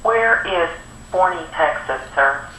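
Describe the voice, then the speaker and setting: A faintly noisy, echoing telephone recording of a woman with a bright, forceful voice. She handles the call as an office staffer, putting brief, inquiring questions to the caller. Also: lowish voice, lively intonation, takes it slowly. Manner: terse, inquiring. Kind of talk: phone conversation, brief questioning. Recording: noisy, echoey telephone line.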